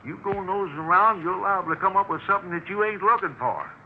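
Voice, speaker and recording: Gruff defensive landlord, lively intonation, evasive, folksy speech, 1950s radio drama, muffled recording. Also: male voice, high-pitched, fast talker, slight background noise. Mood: defiant, warning. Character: surly, defensive landlord stonewalling police.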